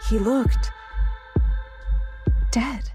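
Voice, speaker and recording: A woman's voice: a little high, very slow. An actress playing a shaken, grave woman in an audio drama. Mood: shocked, distressed. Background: music.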